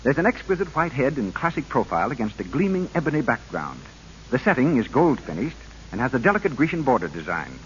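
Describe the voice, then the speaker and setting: A man announcing on 1940s radio, delivering a polished, persuasive scripted sponsor pitch. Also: sing-song, quick pace. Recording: hissy, dull old radio broadcast.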